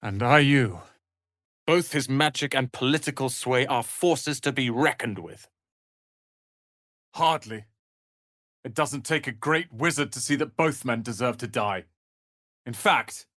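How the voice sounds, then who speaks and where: An actor voicing a proud, prickly young wizard's apprentice in a fantasy video game, haughty, theatrical and sharp-tongued. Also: male voice, mid-range voice, no echo, studio quality, lightly acted. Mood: bitter.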